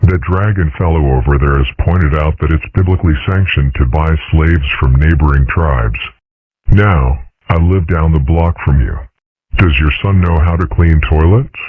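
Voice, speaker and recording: A man voicing a calm father dragon in a comic dub, lecturing in a measured, deadpan way with dry mischief. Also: rumbling low, breathy edge, no echo. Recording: muffled, phone-like narrow sound.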